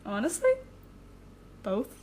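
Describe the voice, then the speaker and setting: A woman's voice: slow, a little mellow, a little hiss or hum, lightly acted. A woman playing a sassy, theatrical young villain in an audio drama, sarcastic and dramatic. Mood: hurt.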